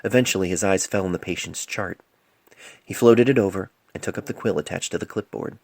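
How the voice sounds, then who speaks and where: A man narrating a scripted story in a solemn, measured way, recorded amateurishly on a cell phone mic. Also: sits low, gravelly, darkish tone, dry recording. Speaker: amateur fan fiction author and narrator.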